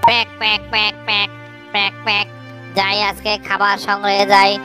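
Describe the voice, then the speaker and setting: A woman's voice: mid-range voice, little pitch movement, fairly quick, sharp tone, soft background music, natural voice. A woman voicing a fretful mother duck in a children's cartoon, quacking repeatedly between short plaintive phrases. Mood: busy, careful. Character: fretful mother duck guarding her eggs. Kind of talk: children's fairy-tale cartoon voice acting.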